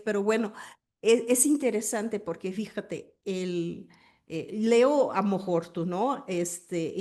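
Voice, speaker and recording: Slow, mellow woman speaking Spanish. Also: mid-range voice. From Brazil. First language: Portuguese. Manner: hesitant, searching for words with many fillers. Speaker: panel speaker. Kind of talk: panel discussion.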